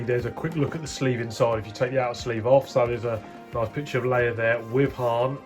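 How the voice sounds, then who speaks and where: A man's voice: medium pitch, a little mellow. A man with a head cold, chatting his way through a toy unboxing review and talking straight to his viewers. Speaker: toy collector vlogger.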